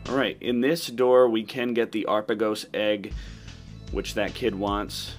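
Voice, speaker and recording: A man's voice: fairly low pitch, steady tone, measured pace. A man giving casual, matter-of-fact commentary as he plays through a video game. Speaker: gaming video host. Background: game music.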